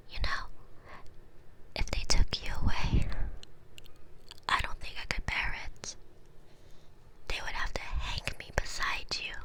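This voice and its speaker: A woman playing a sweet, wry young princess in love, in an intimate, soft ASMR roleplay style. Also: mid-range voice, lightly acted. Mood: whispered longing. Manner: soft, tender, playful, breaking into whispers.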